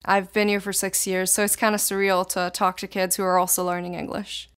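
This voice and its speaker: Teen girl from israel, hebrew's her first language, smooth clear voice, chatty long answers. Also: medium pitch, level tone, fairly quick, fairly bright tone. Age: teens. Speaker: interviewee. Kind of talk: casual interview answers.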